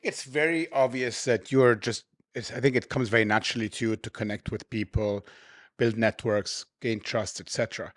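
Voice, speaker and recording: A man's voice: mid-range voice, steady tone, moderate pace. A man from Egypt hosting a podcast, an affirming, conversational interviewer in a casual chat. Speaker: podcast host.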